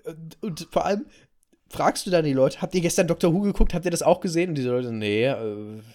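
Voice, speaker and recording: A man's voice: on the high side, brisk, darkish tone. A man with a German accent co-hosting a podcast, explaining things in casual conversation. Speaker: podcast co-host.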